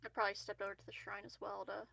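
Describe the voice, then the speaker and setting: A woman's voice: mid-range voice, monotone, racing, creaky, a crowd in the background. A woman chatting casually as a player in a tabletop roleplaying game, hesitant and often rephrasing.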